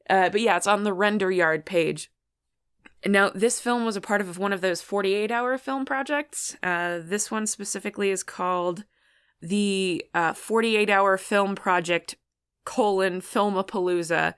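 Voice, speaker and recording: A woman's voice: lowish voice, fairly bright tone, clean tone. A woman hosting a solo podcast, talking casually in a rambling, chatty way about what she watches. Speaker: podcast host.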